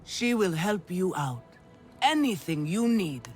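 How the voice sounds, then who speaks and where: A man's voice: high-pitched, big pitch swings, takes it slowly, full character voice. A man playing a flamboyant, doting alien clan elder who loves silk, in theatrical game cutscene style with music behind him. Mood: proud, effusive. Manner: theatrical, effusive. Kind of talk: video game cutscene acting.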